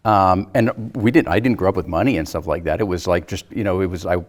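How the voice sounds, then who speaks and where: A man in his fifties talking casually about a film as a guest, in a halting way with repeated, restarted phrases. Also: low-pitched. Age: 50s.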